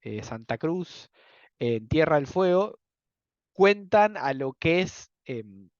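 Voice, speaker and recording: A man's voice: on the high side, lively intonation, slow pace, dry recording, muffled recording. A young Argentine man teaching an economics class, explaining step by step with many filler words and checks that students follow. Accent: Argentine Spanish. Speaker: economics lecturer. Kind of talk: university lecture.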